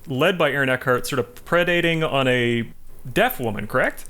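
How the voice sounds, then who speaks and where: A man's voice: mid-range voice, normal speed, bright, forceful tone. A man chatting casually about films as a panelist on a podcast. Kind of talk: casual film discussion.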